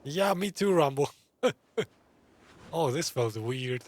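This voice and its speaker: Lively man doing wry game commentary. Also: medium pitch, slow pace, a little hiss or hum. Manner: wry, informal. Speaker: gamer commenting on a playthrough. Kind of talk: casual reaction commentary. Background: game music.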